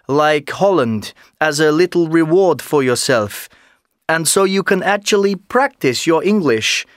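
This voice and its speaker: A man with a somewhat high voice explains his tip calmly. The studio sound is dry and close. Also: dry recording. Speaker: learner giving a tip. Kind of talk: scripted textbook audio.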